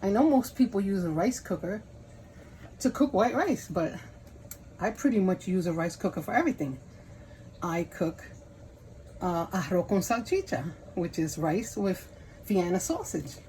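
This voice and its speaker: A woman in her fifties speaks with a Puerto Rican accent as she talks through a cooking video. She is chatty and self-deprecating, at a middling pitch, in a home kitchen with a faint hum of background noise. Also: lively intonation, a little slow, long pauses, warm tone, poor audio. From Puerto Rico. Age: 50s. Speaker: cooking channel host. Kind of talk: casual cooking vlog.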